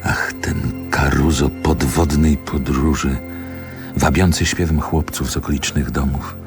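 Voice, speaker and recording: An actor playing a brooding grown man who lyrically recalls a rough city childhood, with measured, deliberate phrasing in a poetic radio drama. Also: a bass voice, fairly even intonation. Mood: nostalgic, melancholy. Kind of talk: poetic radio play monologue. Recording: music under the voice.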